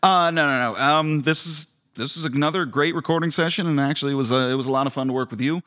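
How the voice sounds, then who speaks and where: A man's voice: fairly high pitch, fast, pushed and bright. A man co-hosting a podcast in casual chat, often doubling up his words. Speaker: director and podcast co-host. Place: large indoor studio room. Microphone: podcast microphone on a boom arm.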